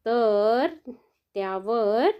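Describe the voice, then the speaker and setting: A woman narrates a children's bedtime story at a slow, gentle pace. Her voice sits fairly high and she speaks in a sing-song, coaxing way, turning to her young listeners with questions. The recording sounds a little dull and lo-fi. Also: clean tone.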